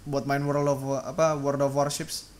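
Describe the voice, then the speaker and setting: A man's voice: mid-range voice, little pitch movement, normal speed. A young man chatting casually as a podcast host, slangy and joking, on a noisy recording. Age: young adult. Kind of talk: casual chat.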